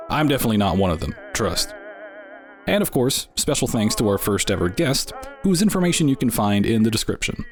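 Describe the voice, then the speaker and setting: A male host delivers scripted narration in a mid-pitched voice. His manner is dry and tongue-in-cheek, like wry commentary on a strange tale. Music runs underneath. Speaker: show host. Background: background music.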